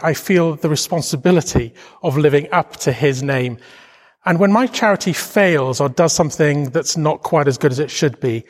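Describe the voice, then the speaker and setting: Welsh man, middle-aged, earnest devotional talk, slightly high voice, long hall reverb. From Wales. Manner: earnest, reflective preaching with emphatic stresses. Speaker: charity leader giving a Bible talk.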